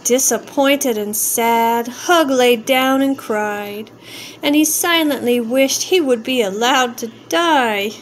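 A woman reading a rhyming children's story aloud in an expressive, drawn-out sing-song way. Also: fairly high pitch, slight background noise. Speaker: storybook reader.

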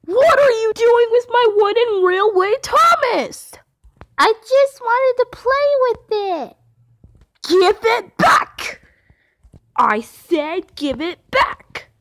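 A woman voicing a cheeky young boy penguin in a cartoon fan dub, who gets excited, turns bratty and stumbles over words. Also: extremely high pitch, takes it slowly, heavily acted. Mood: angry.

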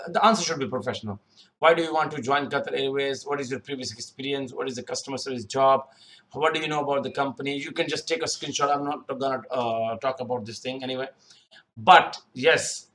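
A man's voice: fairly high pitch, a bit bright. Young male career-tips host, loose and unscripted, lots of fillers and restarts, webcam sound in a small room. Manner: rambling, repeats himself, many fillers. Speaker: youtube career advice host. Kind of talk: unscripted screen-share tutorial. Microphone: webcam in corner of screen recording.

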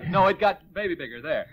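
High-pitched, animated American radio quiz host. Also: male voice, normal speed, muffled recording, background noise. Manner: jocular, showman-like, addressing contestants and audience. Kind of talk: 1940s radio game show hosting.

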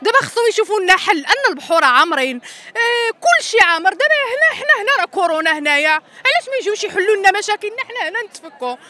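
A woman's voice: extremely high pitch, big pitch swings, very fast, bright, forceful tone. A middle-aged woman with a Moroccan accent giving an impassioned street interview at a protest, outdoors on a handheld microphone. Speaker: protesting sound equipment worker. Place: outdoors in a city square. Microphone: handheld reporter microphone.

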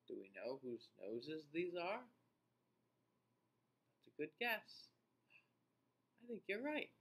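A man in his forties reading a picture book aloud to young children in an expressive, teacher-like way, with playful asides. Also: on the high side. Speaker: children's read-aloud host. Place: small indoor room.

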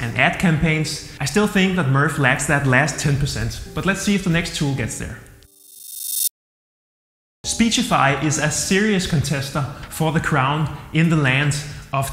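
A man in his thirties with a Danish accent reviewing software to camera in an upbeat, plain-spoken way. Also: fairly high pitch, animated, measured pace. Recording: roomy hall-like echo with soft music.

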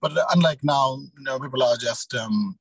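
A man in his thirties speaking informally as a youth organization leader on a video call, with halting, rambling phrasing. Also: mid-range voice. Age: 30s. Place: small indoor room, video call. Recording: roomy sound, distant from mic.

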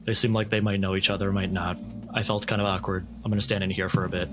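A man's voice: deep voice, flat delivery, fast talker, music in the background, lightly acted. A man playing an awkward, casual ship's guard with an offhand, clipped way of talking.

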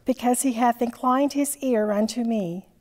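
A woman in her sixties leading a church service as priest, reciting psalms and prayers in a measured, formal way. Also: on the high side, a loud crowd. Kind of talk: liturgical reading. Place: large church sanctuary with stained glass. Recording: long church reverb.

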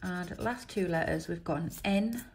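A woman, croaky from a chest infection, chatting casually while she reads out letters and symbols on scratch cards at a table indoors. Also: lowish voice, moderate pace, darkish tone. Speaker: scratch card vlogger. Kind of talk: casual commentary.

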